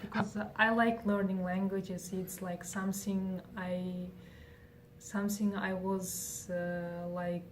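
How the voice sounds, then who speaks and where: A woman's voice: medium pitch, level tone, takes it slowly. Kazakh-accented young woman, hesitant speech. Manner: hesitant, many fillers and restarts. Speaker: interviewee. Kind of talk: casual interview answers. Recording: faint noise, distant from mic.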